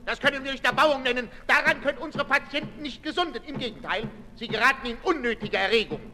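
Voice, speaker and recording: Shrill, pompous asylum director. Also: male voice, fast talker, bright, forceful tone, exaggerated voice. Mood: indignant. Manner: pompous, scolding. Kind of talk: 1960s German radio drama acting. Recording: 1965 radio play with long reverb.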